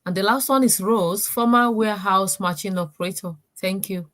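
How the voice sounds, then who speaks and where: A woman's voice: medium pitch, moderate pace, a bit bright. A woman from Nigeria, speaking briefly and formally on a video call.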